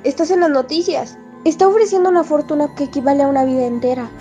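Woman playing excitable bossy teen bestie in a comic dub, latin american accent, high voice over music. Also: quick pace, muffled recording, close to an everyday voice. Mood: alarmed. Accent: Latin American Spanish. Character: bossy, excitable teenage best friend girl.

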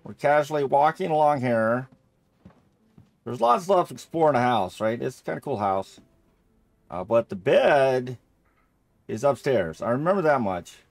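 A man's voice: medium pitch, expressive, moderate pace, vocal fry, pauses often, a little mellow, no echo. A middle-aged man giving casual, rambling, easily amused commentary as he plays a game. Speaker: gamer playing through a game.

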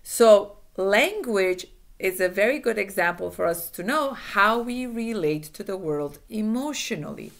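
A woman's voice: medium pitch, expressive, a little slow. A woman in her forties, a native Farsi speaker, giving earnest self-help advice to camera, repeating key phrases, recorded by a laptop webcam in a small room.

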